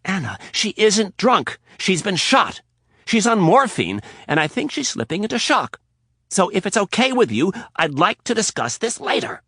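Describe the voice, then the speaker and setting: Audiobook: narrator doing a steady, controlled operative, husky and deliberate. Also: male voice, high voice, dry recording, clean studio sound, pitched above the performer's own voice, exaggerated voice. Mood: urgent. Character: tough male operative, steady and firm. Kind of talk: audiobook character voice.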